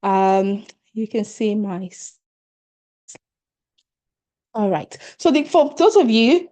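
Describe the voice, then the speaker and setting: A woman's voice: mid-range voice, expressive, slow, warm tone. A middle-aged woman with a Nigerian accent, a leadership coach giving an online workshop over a video call, earnest and encouraging as she speaks straight to attendees.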